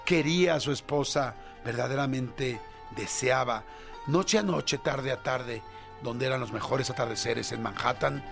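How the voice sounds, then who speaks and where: A man's voice: medium pitch, creaky. A man with a Mexican accent hosting a radio show, talking casually and rambling with playful jokes. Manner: rambling and joking, with playful asides. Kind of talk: casual morning radio chat.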